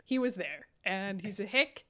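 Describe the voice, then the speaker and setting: A woman's voice: medium pitch, lively intonation, unhurried, sharp tone. A woman hosting a casual comedic livestream, introducing and recapping in a sardonic way, often laughing as she talks. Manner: sardonic, laughs while talking. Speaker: host introducing cast and recapping.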